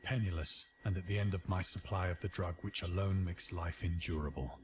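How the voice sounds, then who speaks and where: A man playing a despairing, morphine-addicted castaway sailor, narrating his final testament in a grave, formal, measured way. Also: sits low, slight background noise, natural voice. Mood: grim, anguished. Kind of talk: scripted dramatic literary narration.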